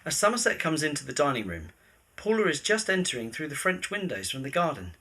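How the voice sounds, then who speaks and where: A male storyteller narrating a scripted drama in a bright, forward voice with a husky grain. His delivery swings widely in pitch as he describes each scene. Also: very animated, fairly quick.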